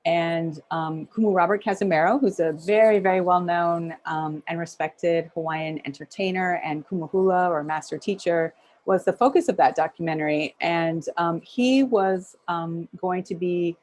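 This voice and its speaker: Low-voiced woman filmmaker, thoughtful. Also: normal speed, a little mellow. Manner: thoughtful, many "um" fillers. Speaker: documentary filmmaker. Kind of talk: interview answers.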